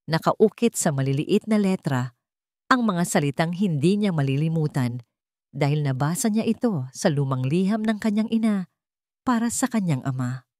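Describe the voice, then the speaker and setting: A woman reads a scripted drama as its narrator. Her voice sits a little low for a woman. The sound is dry, with very little room in it. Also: a little mellow, dry recording. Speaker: story narrator. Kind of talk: dramatic scripted narration of a Tagalog love story.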